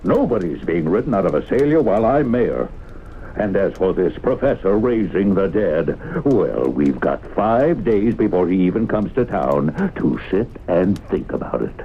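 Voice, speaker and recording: A small-town mayor keeping order in a seventies radio play. The voice is low but animated, firm and placating, heard through a narrow, slightly noisy old broadcast. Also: male voice, moderate pace. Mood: firm, calming. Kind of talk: 1970s radio drama acting. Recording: narrow vintage radio broadcast, faint noise.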